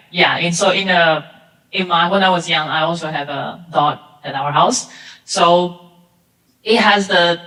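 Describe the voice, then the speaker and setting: A woman's voice: fairly low pitch, a bit bright. A woman answering interview questions as a technical director guest, hesitant and repeating words. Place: theater. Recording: echoey hall.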